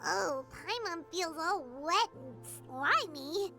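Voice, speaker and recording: A woman voicing a tiny, chirpy fairy companion who talks about herself in the third person, with a squeaky, childlike voice. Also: lively intonation, takes it slowly, sharp tone, exaggerated voice. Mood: squeamish. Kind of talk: game voice acting. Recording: game audio with music under it.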